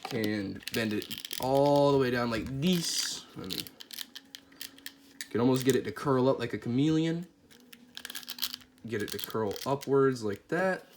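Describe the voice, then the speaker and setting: A man's voice: mid-range voice, a little slow, pauses often. A man with a stuffy nose and a voice cracking from a cold reviews a toy figure in a chatty, easygoing way, thinking aloud as he handles it. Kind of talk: casual product review. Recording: clear steady background noise.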